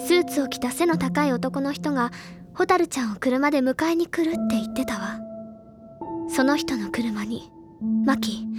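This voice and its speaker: A woman acting a bookish, earnest teenage schoolgirl sleuth in an audio drama, insistent and explaining things deliberately in feminine phrasing. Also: sits high. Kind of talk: Japanese audio drama acting, accusatory monologue. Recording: loud music under the voice.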